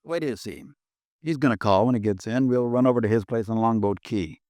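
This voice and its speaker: An actor playing a friendly male cab driver in a 1950s radio drama, talking in a halting way with many fillers. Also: medium pitch, a little mellow, no room sound, close to an everyday voice. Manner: hesitant, halting, full of fillers. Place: studio.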